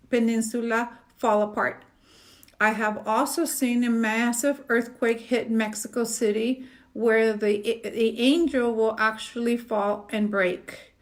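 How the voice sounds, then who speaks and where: Woman, middle-aged, psychic, earnest, halting, many fillers, slightly high voice. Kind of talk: solo predictions monologue to camera.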